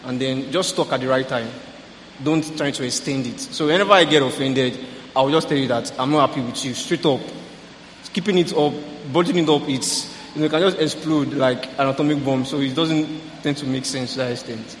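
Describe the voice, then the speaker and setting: Young man, casual halting speech, mid pitch, echoey room, long reverb. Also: slight background noise. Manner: relaxed, halting, uses fillers. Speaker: panel participant. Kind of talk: casual sharing. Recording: echoey hall with faint ambience.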